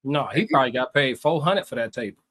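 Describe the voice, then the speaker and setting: A man's voice: mid-range voice, expressive, fast, fairly bright tone. A man co-hosting a livestream, talking casually through his opinions, stacking points in lists and checking that listeners follow. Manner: repetitive, builds points in lists, checks in with "you know what I'm saying". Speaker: livestream co-host.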